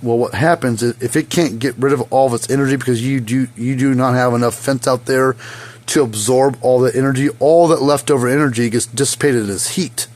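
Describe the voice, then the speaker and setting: A hoarse man giving a casual, rambling how-to repair demonstration in his hot workshop, with lots of fillers. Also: mid-range voice, steady tone, fairly quick, darkish tone. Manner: rambling, folksy, many fillers. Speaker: fence energizer repair technician. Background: faint hum of a small fan.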